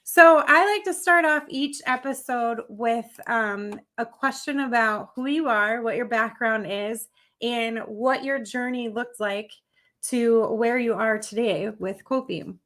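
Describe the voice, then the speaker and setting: A woman hosting a live interview series, whose voice sits somewhat high. She welcomes listeners and her guest in an upbeat tone before asking her opening question. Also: a little slow, a bit bright. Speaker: host.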